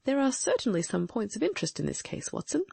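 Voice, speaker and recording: A woman performs a confident Victorian detective who thinks aloud in crisp, exact sentences. The voice moves up and down a lot, with a gravelly, slightly husky grain. The recording is very dry and close. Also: on the high side, very animated, quick pace, dark tone, dry recording, heavily acted. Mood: deducing. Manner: crisp, reasoning aloud, precise diction. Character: brilliant Victorian gentleman detective, male, sharp and confident. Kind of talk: audiobook dramatic reading.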